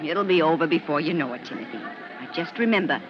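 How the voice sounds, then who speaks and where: A woman playing a brisk, prim, kindly schoolteacher in a 1950s radio drama, crisp and gently scolding. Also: low-pitched, animated. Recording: dull, noisy vintage radio, crowd murmur.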